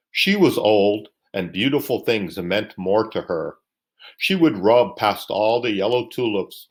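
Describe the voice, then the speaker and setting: A man reading a children's bedtime story aloud. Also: on the low side. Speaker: storybook reader.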